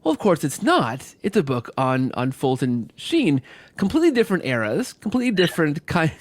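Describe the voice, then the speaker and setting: A man's voice: a little high, huge pitch swings, brisk, no echo. A man hosting a podcast in an upbeat, conversational style, effusive and rambling as he circles back on his phrases. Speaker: podcast host.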